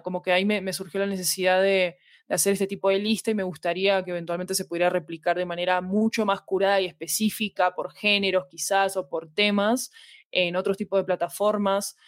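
A woman's voice: medium pitch, steady tone, fast, no echo, clean studio sound. A woman in a casual interview, thinking aloud and carefully qualifying what she says. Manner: thoughtful, hedging, self-qualifying. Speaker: podcast guest, fiction editorial manager.

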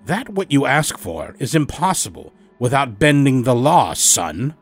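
A man's voice: mid-range voice, big pitch swings, unhurried, slightly rough, pitched above the performer's own voice, full character voice. Man playing a haughty, scornful elderly doctor over music. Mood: stern. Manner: theatrical, condescending, emphatic. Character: stern elderly male dream doctor, haughty, scornful, persuasive.

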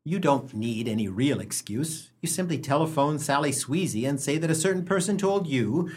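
An actor playing a sardonic, penny-pinching small-town husband in a comic radio play, blustering with a slightly husky voice. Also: male voice, a little high, expressive, normal speed, a little mellow. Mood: wry, insistent. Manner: blustering, sarcastic, declamatory.

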